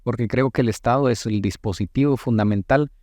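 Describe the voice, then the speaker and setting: A Guatemalan man in his late thirties giving thoughtful, halting answers as a politician being interviewed, with formal wording. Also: mid-range voice, a little mellow, no room sound, clean studio sound. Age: late 30s. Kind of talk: reflective interview talk.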